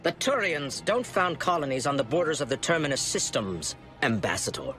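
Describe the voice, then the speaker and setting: A man's voice: a little high, big pitch swings, normal speed, pushed and bright, faint music behind. A man playing a dismissive alien councilor in a video game, formal and condescending. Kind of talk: video game cutscene acting.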